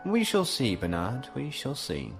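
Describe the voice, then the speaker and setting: A man's voice: medium pitch, wildly swooping pitch, unhurried, vocal fry, over music, exaggerated voice. A man playing a proud, coolly defiant industrialist lord in a science fiction audio drama, formal, clipped and aloof.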